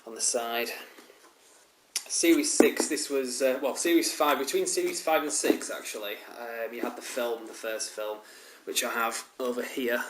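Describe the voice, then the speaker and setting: A man's voice: medium pitch, fairly even intonation, normal speed. A man with a head cold, rambling casually through a DVD collection review with many ums and restarts. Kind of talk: casual show-and-tell review. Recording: roomy echo, loud background hiss.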